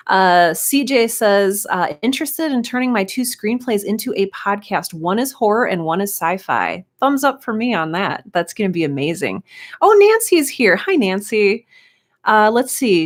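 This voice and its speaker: A woman hosting a live stream, chatting casually and encouragingly as she greets viewers and reads out comments. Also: mid-range voice. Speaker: live stream host and writing teacher.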